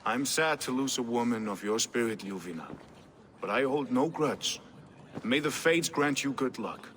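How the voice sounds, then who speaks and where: An actor playing a commanding, measured Norse king in a video game, speaking formally and deliberately. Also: mid-range voice, animated, a bit bright, a little hiss or hum, close to an everyday voice. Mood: solemn, gracious. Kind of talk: dramatic scripted game acting. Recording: echoey hall with music underneath.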